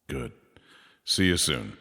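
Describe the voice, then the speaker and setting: Sci-fi audio drama commander: extremely low, gravelly, animated yet clipped and authoritative, menacing. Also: male voice, takes it slowly, exaggerated voice. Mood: stern, commanding. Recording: long reverberant hall echo.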